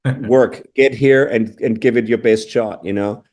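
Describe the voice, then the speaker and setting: Casting: middle-aged man from austria, warm dark tone, reflective guest, lots of "you know". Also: mid-range voice, steady tone, moderate pace. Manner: thoughtful, restarts phrases, frequent "you know". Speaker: interview guest, drummer. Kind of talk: casual interview answers.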